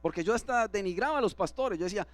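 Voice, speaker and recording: Man, pastor, Spanish sermon, high voice, dry sound, asks for agreement. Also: animated, fast, no room sound. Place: church.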